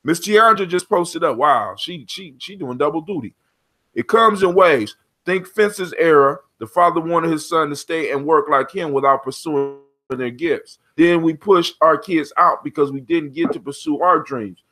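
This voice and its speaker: Male discussion host, forties, American. Forceful, slightly high delivery, emphatic and impassioned. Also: normal speed. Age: 40s.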